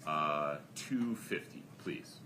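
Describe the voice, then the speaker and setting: Deep-voiced male judge, distant noisy mic. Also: animated, slow pace, pushed and bright. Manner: brief, formal procedural directions. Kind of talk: courtroom procedure.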